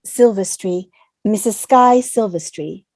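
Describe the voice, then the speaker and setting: A woman's voice: mid-range voice, slow, a little mellow. A woman playing a shaken passenger in a sci-fi drama table read. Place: online video call.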